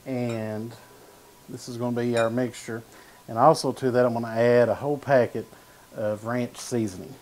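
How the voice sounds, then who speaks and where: A man's voice: medium pitch, fairly even intonation, normal speed, darkish tone, a little hiss or hum. A man in his thirties hosting a cooking show, demonstrating a recipe in a studio kitchen in an easygoing way with frequent "uh"s. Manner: easygoing, talks while stirring and slicing, frequent "uh" and "gonna". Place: home-style studio kitchen, indoors.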